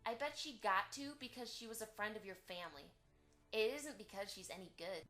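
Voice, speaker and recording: A woman's voice: medium pitch, expressive, fairly quick, bright, forceful tone, soft background music. A woman playing a spiteful, condescending young unicorn filly from a rich family in an amateur audio drama. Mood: snooty disdain. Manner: condescending, haughty.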